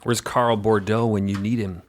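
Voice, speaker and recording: Male tabletop player, fairly low voice, playful conversational delivery. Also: flat delivery, moderate pace, a little hiss or hum. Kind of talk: casual chat during a game.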